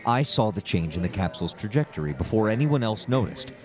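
An actor playing a nervous, formal scientist in an audio drama, explaining himself hesitantly and earnestly. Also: male voice, on the low side, big pitch swings, fairly quick, crowd noise. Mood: guarded, explaining. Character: nervous male scientist, evasive, earnest about his invention.

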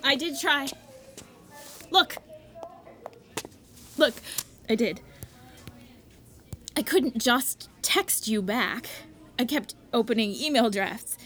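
An earnest young doctor in a romantic radio play. Her voice sits fairly high, and she talks naturally, with a flustered, hesitant edge. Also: takes it slowly, long pauses, sharp tone, slight background noise. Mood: pleading. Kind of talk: naturalistic audio drama acting.

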